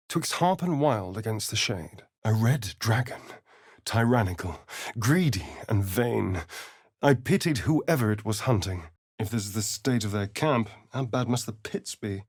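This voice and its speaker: A man's voice: mid-range voice, slightly rough, dry recording, clean studio sound, exaggerated voice. An actor playing a sly, vain, sardonic male vampire rogue in a video game, with an arch, drawling, theatrical delivery. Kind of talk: theatrical video game character lines and narration.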